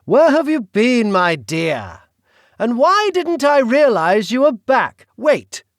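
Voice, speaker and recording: A man voicing a grandiose, menacing duke with a British accent, slow and theatrical. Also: very high voice, very animated, dry recording, studio quality, voice pushed up, heavily acted. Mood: gloating. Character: suave menacing male duke, grandiose villain.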